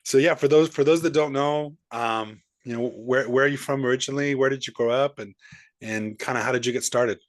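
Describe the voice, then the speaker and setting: A man's voice: medium pitch, a little fast, no echo. Friendly male host, dry close sound. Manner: friendly, upbeat, with frequent restarts. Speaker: podcast host. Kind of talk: casual interview chat.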